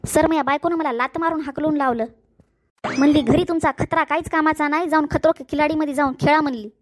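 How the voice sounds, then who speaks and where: A woman's voice: sits high, fairly even intonation, fast talker, dry recording, clean studio sound, natural voice. A woman voicing a hapless, henpecked bald man in his forties in a comedy cartoon, plaintive yet cheeky, with a rural Marathi accent. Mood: sheepish.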